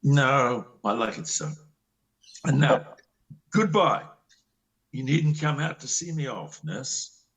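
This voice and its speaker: A man playing a restless, wistful middle-aged country doctor in an amateur play reading, husky and theatrical. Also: medium pitch, animated, slow, long pauses, a bit bright, full character voice. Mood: polite farewell. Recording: slightly distant microphone.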